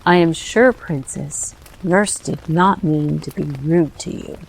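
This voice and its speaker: Woman doing a low, slow, placating housekeeper. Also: vocal fry, slight background noise, heavily acted, rough recording. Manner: hesitant, conciliatory. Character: timid, placating housekeeper woman smoothing over a quarrel. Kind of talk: dramatized storybook reading.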